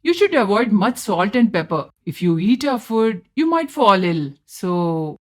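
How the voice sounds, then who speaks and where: A woman with an Indian accent playing a meek, henpecked adult son in an animated story, speaking plainly and dutifully. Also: mid-range voice, measured pace. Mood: sheepish.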